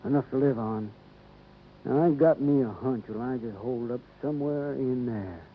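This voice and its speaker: A tinny old radio recording with faint noise. An actor plays a rustic American male sheriff, stubborn and folksy, speaking at a middling pitch with plain confidence in 1950s drama style. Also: moderate pace, natural voice. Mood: folksy, cautionary.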